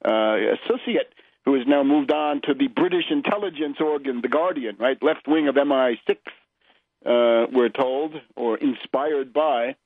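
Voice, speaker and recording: A man's voice: medium pitch, unhurried, no room sound. A man hosting a political radio show, delivering an emphatic, sardonic monologue and often checking his points with a clipped "right?". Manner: sardonic, scornful. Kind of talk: political commentary monologue, polemic. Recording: muffled lo-fi radio audio.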